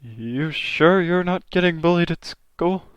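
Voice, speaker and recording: A man's voice: fairly high pitch, unhurried, smooth, no room sound, natural voice. A man playing a worried, caring father in a comic dub, speaking earnestly and a little hesitantly. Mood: concerned.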